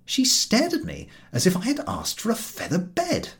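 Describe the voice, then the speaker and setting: A man narrating a humorous story as an audiobook reader, in an expressive scripted style. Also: sits high, normal speed, gravelly.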